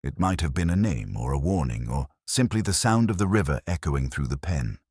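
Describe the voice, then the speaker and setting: Very deep-voiced male mystery narrator. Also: lively intonation, a little mellow, husky, dry recording, studio quality. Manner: measured, melancholy, solemn delivery. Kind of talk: first-person literary mystery audiobook narration.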